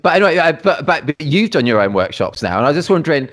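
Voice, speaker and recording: A middle-aged man hosting a casual chat, animated and exclaiming, often restarting his sentences. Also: fairly high pitch, fast. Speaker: podcast host.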